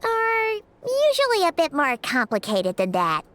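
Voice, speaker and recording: A woman voicing a tiny, excitable female fairy companion in a video game, perky and chatty. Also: sits high, a little slow, exaggerated voice. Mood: curious, teasing. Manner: perky, chatty, refers to herself by name.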